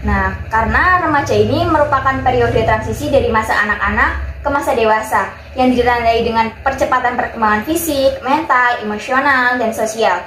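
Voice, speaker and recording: Brisk young woman. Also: a little high, fairly bright tone. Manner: brisk listing, strings points together with "nah" and "terus juga". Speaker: midwifery student presenter. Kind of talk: health education talk to a small group. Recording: echoey room with background noise.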